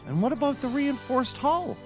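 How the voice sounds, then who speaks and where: A woman's voice: high voice, sing-song, normal speed, soft background music. A woman playing a reasonable, patient sidekick in a scripted comedy, tentative and matter-of-fact. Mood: puzzled, reasonable. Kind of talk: scripted comedy audio acting.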